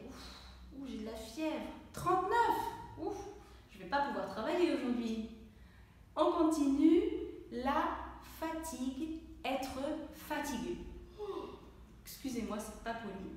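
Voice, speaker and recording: High, slow-speaking woman teacher in an echoey room. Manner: slow and clear, acting out symptoms with coughs and sneezes; addresses the viewer directly, encouraging. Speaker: French teacher. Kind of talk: language lesson for learners. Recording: very echoey room, voice from far off the mic.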